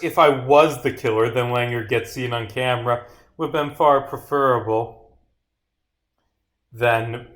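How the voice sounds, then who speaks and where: Male game developer on stream, mid-pitched, casual, reads script lines aloud with muttered asides. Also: a bit bright. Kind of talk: casual livestream playthrough, reading game dialogue aloud.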